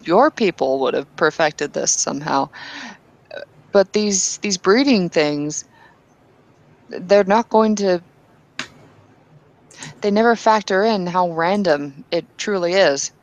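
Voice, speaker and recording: A woman playing Bettina, an earnest and devout kinfolk woman, arguing insistently with halting restarts in a tabletop roleplay. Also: fairly low pitch, animated, low-quality recording. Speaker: player character.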